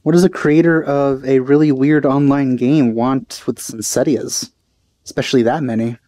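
Mellow, dry-miked male gameplay commentator. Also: mid-range voice, fairly even intonation, moderate pace, no echo. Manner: wry, sceptical. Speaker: let's play host. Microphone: close dry mic. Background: faint game music.

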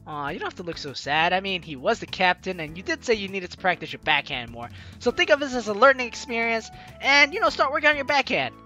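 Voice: medium pitch, sing-song, quick pace, hardly any pauses, sharp tone. A let's play host reading visual novel lines aloud and reacting in an exclaiming, giggly way. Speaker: gaming video host. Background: game music.

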